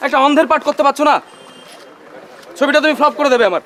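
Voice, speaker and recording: An actor playing an exasperated, bossy film director, impatiently drilling instructions with repeated words. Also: male voice, high-pitched, sing-song, brisk. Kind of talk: dramatic film dialogue.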